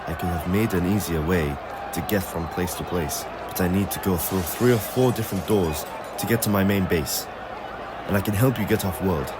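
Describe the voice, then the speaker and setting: A man's voice: sits low, moderate pace, very noisy, lightly acted. A man voicing an evasive, pleading carnival ringmaster in an audio story.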